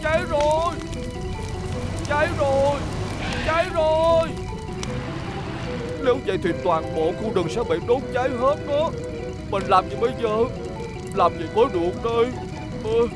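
A man's voice: extremely high pitch, expressive, measured pace, pauses often. A man voicing an anxious, forgetful talking vehicle in a cartoon dub, wondering aloud and exclaiming. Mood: alarmed. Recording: loud music behind the voice. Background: loud music.

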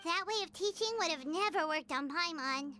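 A woman voicing a tiny floating fairy companion in an anime-style game, with a high squeaky cartoonish voice. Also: moderate pace, pushed and bright. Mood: chirpy. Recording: game audio under music.

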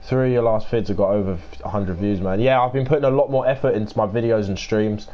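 A young man with a croaky voice, chatting casually and swearing as he livestreams a game and greets viewers by name. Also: fairly low pitch, fast talker, mellow, dull audio, a little hiss or hum. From United Kingdom. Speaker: streamer. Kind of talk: casual gaming livestream chat.

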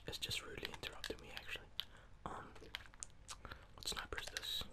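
A young man giving rambling, casual, self-deprecating ASMR gameplay commentary in a breathy whisper, close to the microphone. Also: fairly quick. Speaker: gaming video host. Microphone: close microphone, voice-over on gameplay.

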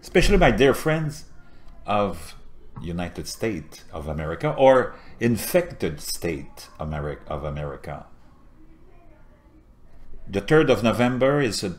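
A man in his fifties from Montreal, a native French speaker with a French Canadian accent, talking straight to camera in an earnest political monologue. He swings between quiet murmurs and loud emphatic bursts. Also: sits low. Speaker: political video host. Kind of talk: impassioned political commentary to camera. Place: small indoor home setup with a virtual backdrop.

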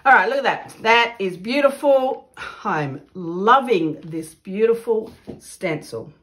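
A woman's voice: medium pitch, lively intonation, slow, fairly bright tone. A woman with an Australian accent, chatting casually and exclaiming over her work as she demonstrates printing in her home art studio. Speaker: mixed media artist. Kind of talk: casual craft demo chat.

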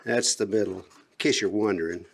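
A man's voice: lowish voice, brisk, a little hiss or hum. A middle-aged man talks through a hands-on DIY project in plain, folksy terms. He sounds like he is working in an open garage, picked up by the camera's own mic. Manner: folksy, plain-spoken instructions. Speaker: DIY vlogger. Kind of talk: casual how-to narration. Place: open garage, then outdoors on a roof.

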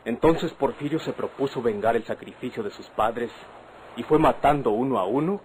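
An actor with a Mexican accent playing an earnest young husband, a bandit's son, persuading his wife in measured, formal radio-drama diction. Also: mid-range voice, a little mellow, natural voice. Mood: insistent. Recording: noisy vintage radio drama recording.

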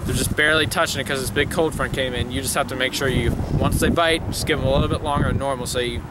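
A man's voice: mid-range voice, steady tone, quick pace. A young man chatting casually about fishing outdoors by a pond, rambling and enthusiastic, recorded on a camera mic. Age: young adult. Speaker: fishing vlogger. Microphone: camera mic, handheld or nearby. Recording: loud wind and outdoor noise.

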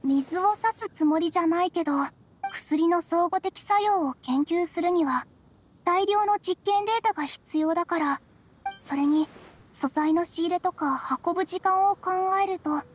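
High-pitched, timid young researcher. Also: female voice, moderate pace, a little hiss or hum. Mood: thoughtful, hesitant. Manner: polite, modest, hesitant. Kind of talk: anime video game voice acting.

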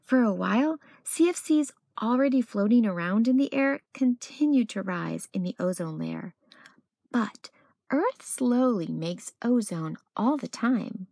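A woman storyteller reading a children's picture book aloud expressively, with deliberate phrasing and dramatic pauses. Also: fairly high pitch, expressive, darkish tone, no echo, studio quality. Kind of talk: expressive read-aloud narration.

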